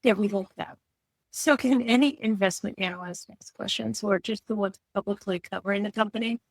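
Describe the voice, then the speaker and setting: A woman's voice: mid-range voice, fairly quick, no room sound. Female interviewer, mid-range voice, conversational questioning, close dry studio-like sound.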